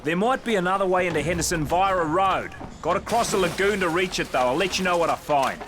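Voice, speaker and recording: An actor playing a gruff, impatient captain in a video game, with a blunt, weary, slangy way of talking. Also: male voice, sits high, quick pace, noisy background, close to an everyday voice. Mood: frustrated. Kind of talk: video game cutscene acting.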